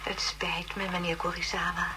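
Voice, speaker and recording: A woman playing a brisk, chatty police communications operator in a Dutch science-fiction radio play. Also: fairly low pitch, little pitch movement, a crowd in the background, natural voice. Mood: apologetic. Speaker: operator at a police research centre. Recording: noisy radio drama, video-call scene.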